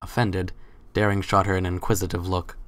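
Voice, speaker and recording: A man's voice: low voice, level tone, normal speed, a bit bright, no echo. A man reading scripted third-person story narration as the narrator of a dramatic reading.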